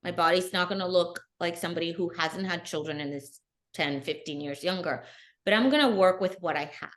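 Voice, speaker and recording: A middle-aged woman, a guest on an interview podcast, telling her story in an earnest, animated way. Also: lowish voice, brisk. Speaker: guest, bikini athlete. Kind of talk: casual interview.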